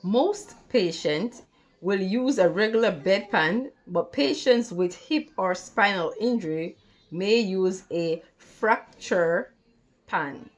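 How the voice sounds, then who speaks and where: A woman tutor giving an instructional read-along lesson, reading out material deliberately, step by step, in short phrases. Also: mid-range voice, expressive. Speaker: nursing assistant tutor.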